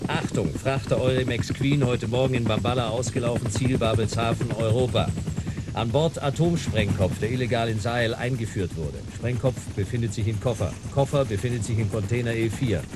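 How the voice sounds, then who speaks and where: An actor playing a sardonic adventurer in command, clipped and ironically polite, in a radio play. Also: male voice, medium pitch, a little fast, over loud music, lightly acted. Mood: brisk, commanding. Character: cool, sardonic male adventurer, firmly in command.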